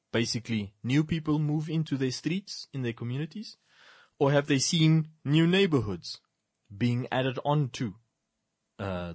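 A man's voice: medium pitch, no room sound. A man in casual discussion lays out his case as a chain of paired points that climb in energy. His voice sits in an ordinary middle register. The recording is close and dry, with no room sound. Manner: lists points in building sequences, then drops to a quiet wrap-up. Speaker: talk show co-host.

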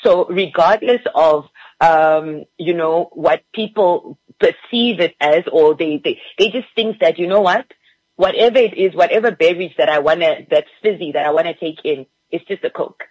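A woman's voice: on the low side, normal speed, a little mellow, breathy edge, dry recording, lo-fi sound. A woman from Namibia, a PR guest, talking enthusiastically on a radio interview with fillers.

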